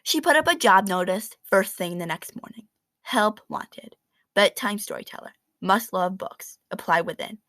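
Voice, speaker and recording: A woman reading a children's picture book aloud in an expressive, animated storytelling manner with dramatic emphasis. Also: medium pitch, moderate pace, no room sound.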